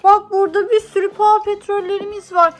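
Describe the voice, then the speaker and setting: Very high-pitched woman playing with her child. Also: flat delivery, a little fast, faint music behind. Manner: asks questions to prompt a child. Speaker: mother playing toys with young son. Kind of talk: playful chat and narration of toy play.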